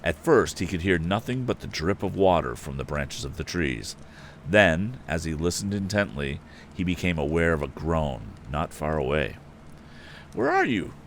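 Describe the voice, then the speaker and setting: A man's voice: a bass voice, moderate pace, a little hiss or hum. A man hosting a live story reading, narrating expressively and dramatically with casual asides. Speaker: host and reader of a live story stream.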